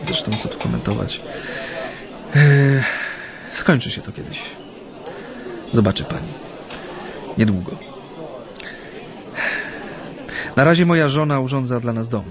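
A male actor plays an exiled Japanese activist in a radio play, a man with a medium-pitched voice. He reminisces thoughtfully, hesitating and humming between phrases. He sounds proud and homesick, in a natural, understated style. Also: expressive, a crowd in the background, natural voice. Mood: reserved, measured. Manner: hesitant, reflective, pausing with hums.

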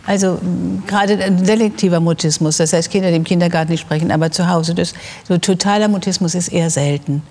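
An older woman explaining as an expert guest, measured and searching for words. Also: on the low side, fairly even intonation, darkish tone, big-room sound. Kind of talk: explanatory discussion. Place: large TV studio.

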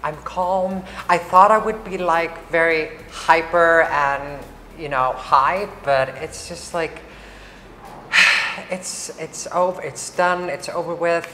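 Low-voiced woman from Iceland, talkative. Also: slow pace, bright, forceful tone, a little hiss or hum. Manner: chatty, many fillers and restarts. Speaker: interviewee. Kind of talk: casual interview. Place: large bright hall with wood screens. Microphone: lapel mic. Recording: roomy hall echo, faint ambience.

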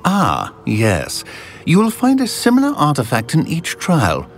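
An actor playing an older, self-assured wizard professor in a video game, speaking formally and with authority. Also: male voice, fairly high pitch, wildly swooping pitch, unhurried, faint music behind, exaggerated voice. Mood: grave, instructive. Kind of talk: video game cutscene acting.

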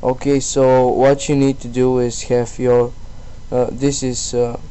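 A man presenting a casual screen-recorded software tutorial in a halting, self-deprecating way. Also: mid-range voice, smooth, poor audio. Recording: steady background noise on voice-over.

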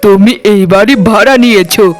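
A man's voice: high-pitched, fairly even intonation, brisk, natural voice. A man voicing a ruined, humbled older father who speaks apologetically in a cartoon. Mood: remorseful. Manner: pleading, apologetic. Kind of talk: cartoon dialogue acting.

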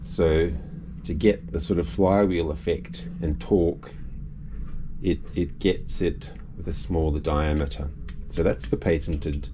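An older man, casually explaining a project aboard his boat in an unhurried, halting way. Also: rumbling low, fairly even intonation, background noise. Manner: unhurried, halting with restarts and fillers. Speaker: boat owner and video maker. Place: cabin and engine room of a sailing catamaran.

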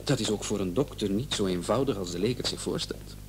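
A man's voice: on the low side, fairly quick, close to an everyday voice. A man in a radio drama playing a hospital doctor who is placating and condescending. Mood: defensive. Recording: old radio play, faint noise and hum.

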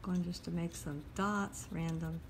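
A woman calmly talking through a step-by-step drawing lesson as she sketches at a table. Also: on the low side, a little hiss or hum. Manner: calm, unhurried, talks while drawing. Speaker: drawing instructor. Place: at a table indoors.

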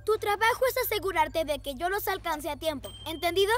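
A woman's voice: very high voice, fairly quick, over loud music. A woman voicing a helpful, adventurous young boy motorcycle, an earnest big brother in a children's cartoon dub. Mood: instructing. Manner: earnest, energetic. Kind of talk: dubbed children's cartoon acting.

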